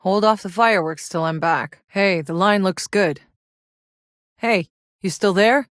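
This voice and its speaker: A woman's voice: medium pitch, a little slow, no echo, lightly acted. An actress playing a tough, sardonic, weary but determined woman soldier in a video game, with a terse, dry, hard-edged delivery. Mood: businesslike. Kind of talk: acted video game voice lines.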